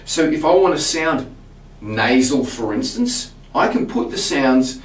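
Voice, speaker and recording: A man with a slightly high speaking voice teaches singing technique, recorded from some distance in a roomy space. He talks in an easy, unhurried way and often repeats words as he restarts a thought. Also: sing-song. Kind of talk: explaining and demonstrating to camera.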